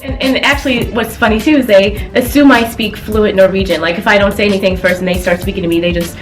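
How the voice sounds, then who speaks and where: Woman, American accent, mid-pitched, casual interview chat, quoted asides, faint music behind. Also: steady tone, fast. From Chicago, United States. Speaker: expatriate interviewee. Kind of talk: casual conversation.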